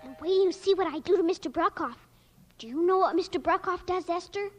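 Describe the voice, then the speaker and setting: A woman's voice: very high voice, fast, faint music behind. A young girl playing a feisty, morbid little girl in an old radio drama, dramatic and earnest. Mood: gleefully morbid. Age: child. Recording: muffled, noisy old radio recording.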